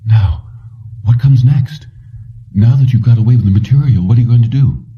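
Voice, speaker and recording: A man's voice: medium pitch, a little fast, pitched above the performer's own voice, natural voice. A man voicing an anxious, questioning woman accomplice in an audiobook thriller. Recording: muffled, dull, distant-sounding.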